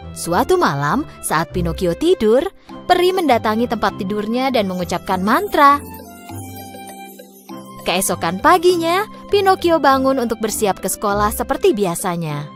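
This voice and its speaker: A woman narrating a scripted fairy tale for children in an expressive, clearly phrased way. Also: mid-range voice. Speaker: storyteller.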